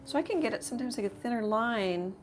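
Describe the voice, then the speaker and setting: A woman with a slightly rough voice hosting a casual live painting tutorial, thinking aloud in a rambling way as she works. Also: a little high, expressive, fast, a little hiss or hum. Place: home art studio.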